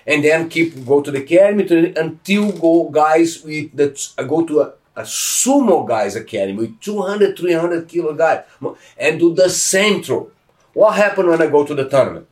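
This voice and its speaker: Animated Brazilian man, heavy accent. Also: fairly high pitch, normal speed, distant mic. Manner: animated, broken English, emphatic storytelling. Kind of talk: casual interview.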